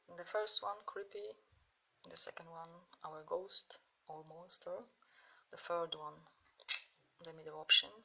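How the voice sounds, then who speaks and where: Slow, gravelly woman with a Polish accent. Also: fairly low pitch, sharp tone, rough recording. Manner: slow, step-by-step instructions while clicking. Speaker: software tutorial host. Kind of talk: screen-recorded software tutorial.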